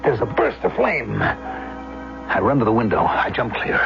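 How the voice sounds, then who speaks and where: An actor playing a deranged arsonist and killer, narrating in a 1970s radio drama with intense, deliberate phrasing. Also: male voice, wildly swooping pitch, vocal fry, full character voice. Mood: rapturous. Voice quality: low-pitched. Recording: dull vintage radio recording. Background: loud dramatic music.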